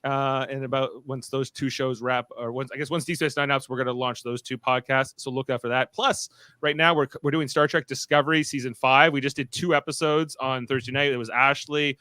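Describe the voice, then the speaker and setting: A man's voice: mid-range voice, fairly even intonation, sharp tone, no room sound. A man hosting a podcast in casual unscripted chat, rambling and often interrupting himself. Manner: halting, rambling, self-interrupting. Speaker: podcast host.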